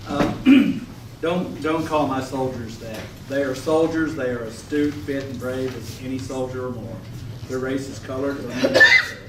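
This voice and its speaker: A man playing a firm, dignified Civil War Union general in readers theater, speaking in measured, formal oratory. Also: medium pitch, expressive, natural voice. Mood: firm, reproving. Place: echoing room at a stage rehearsal. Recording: echoey, noisy room, distant mic.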